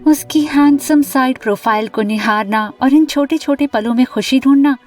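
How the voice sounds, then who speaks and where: A woman voicing a lovestruck, anxious young student who tells her animated story in an expressive, confiding way. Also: high voice, normal speed, close to an everyday voice. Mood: dreamy confiding. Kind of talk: animated first-person story narration and dialogue. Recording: music bed under the voice.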